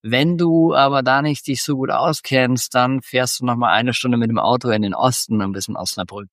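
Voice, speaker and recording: A man in his forties from northwestern Germany, a guest on a podcast, talking in a relaxed, rambling way with many fillers. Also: mid-range voice, moderate pace, dry recording, studio quality. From northwestern Germany near the Dutch border. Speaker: podcast guest, agency founder. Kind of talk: casual interview talk.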